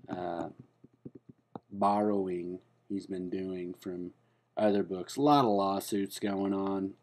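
A man playing a defensive, self-important character in a comedic spoof monologue, talking in a hesitant, rambling way with deadpan earnestness. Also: fairly low pitch, close to an everyday voice. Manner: hesitant and rambling, full of fillers and restarts; deadpan, earnest delivery of absurd claims.

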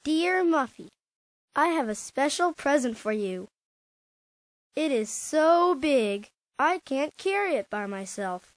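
A woman playing an eager, friendly young schoolboy in an animated children's storybook game. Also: sits high, slow, no echo. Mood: earnest, pleased.

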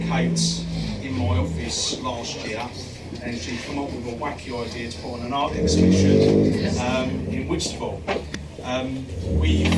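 A man's voice: mid-range voice, gravelly. A man, a prison education officer, giving a hesitant speech full of ums in a crowded art gallery. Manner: hesitant, many ums and restarts. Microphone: far camera. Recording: roomy, distant, loud crowd noise.

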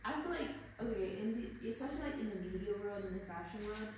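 A woman's voice: mid-range voice, lively intonation, fast talker. A young woman speaking as a panelist in a panel discussion, talking candidly and casually with many fillers. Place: art gallery. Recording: echoey gallery from far off with noise.

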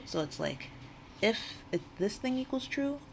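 A woman's voice: mid-range voice, sing-song, a little slow, gravelly. A woman giving a casual spoken coding tutorial, informal and thinking aloud as she goes. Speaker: tutorial host. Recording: noisy room with keyboard typing. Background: computer keyboard typing, loud background noise.

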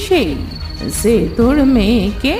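A woman voicing a vengeful, ancient female pond spirit in a cartoon fairy tale, speaking with slow menace and accusation. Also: on the high side, lively intonation, a little mellow, reverberant, recorded from a distance, faint music behind. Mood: menacing, accusing. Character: vengeful two-hundred-year-old female pond spirit.

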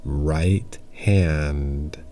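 Very slow, deep-voiced male meditation guide. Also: warm tone, soft background music. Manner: measured, long pauses between short phrases. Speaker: yoga nidra meditation guide.

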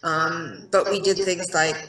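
A middle-aged actress with a South African accent, chatting on a video call in a bright room, with a relaxed, self-deprecating manner full of ums. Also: female voice, lowish voice, slow pace. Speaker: actress, interview guest. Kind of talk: casual video-call interview. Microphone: headset on a video call. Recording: roomy, distant-sounding call audio.

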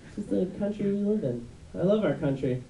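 A young man with a high, unmasculine voice doing a rambling stand-up set on a club stage, with many fillers and restarts. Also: animated, a little fast, gravelly, dark tone. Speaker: stand-up comedian. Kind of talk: stand-up routine. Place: dark club stage before a live audience. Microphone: handheld mic on a stand. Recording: echoey room, mic from far off.

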